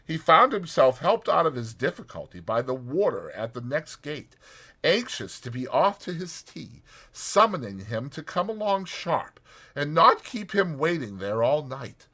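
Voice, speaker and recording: A man narrating an audiobook as a dramatized story, in a theatrical, expressive manner. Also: fairly high pitch, a little slow.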